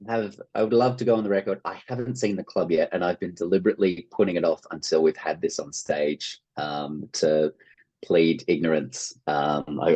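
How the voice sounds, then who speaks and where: A man with an Australian accent speaks in an extremely deep register with a slightly rough, husky grain. He answers as an interview guest, conversationally and thoughtfully, circling around his ideas as he explains them. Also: a little mellow. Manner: thoughtful, discursive, hedging phrasing. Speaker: interview guest, playwright and actor.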